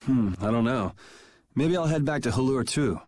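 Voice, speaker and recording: A man's voice: mid-range voice, lively intonation, moderate pace, darkish tone, no echo, lightly acted. A man voicing a cool, sardonic young swordsman in a video game, laid-back, wry and teasing. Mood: nonchalant. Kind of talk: English video game dub acting.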